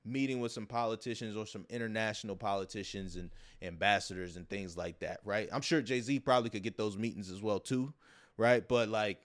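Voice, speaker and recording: Casual, low-pitched man hosting a podcast. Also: brisk. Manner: long-winded, repeats and circles back on words. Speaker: podcast host. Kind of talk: casual conversational podcast talk.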